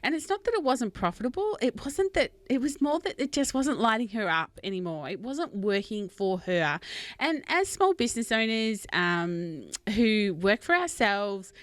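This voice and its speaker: A woman's voice: on the low side, no echo. Chatty Australian woman podcast host. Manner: enthusiastic, chatty, with fillers. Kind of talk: casual interview chat. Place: rural home.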